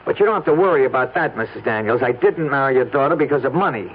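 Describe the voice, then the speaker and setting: A man's voice: medium pitch, lively intonation, fast talker, narrow, tinny audio, lightly acted. A man playing an earnest, stubborn young husband in an old radio drama, pleading and insistent. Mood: defensive, insistent. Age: young adult. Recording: noisy, thin old radio recording.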